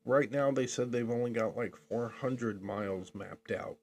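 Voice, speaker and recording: A man's voice: mid-range voice, a little slow, a little hiss or hum. An older man co-hosting a podcast, chatting casually in a rambling, jokey way and laughing often. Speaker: podcast co-host. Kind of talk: casual chat.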